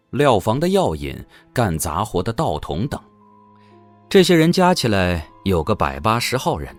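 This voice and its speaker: A man reading scripted, dramatic narration for a fantasy audio drama. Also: fairly low pitch.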